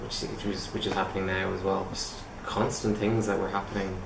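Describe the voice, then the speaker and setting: A man's voice: sits low, monotone, brisk, creaky. A man from Ireland, an English teacher giving hesitant interview answers with many restarts. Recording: echoey room with faint noise.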